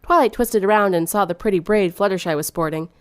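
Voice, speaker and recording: Woman, story narration, mid pitch, close and dry recording. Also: fast talker, dry recording. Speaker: fan fiction reader.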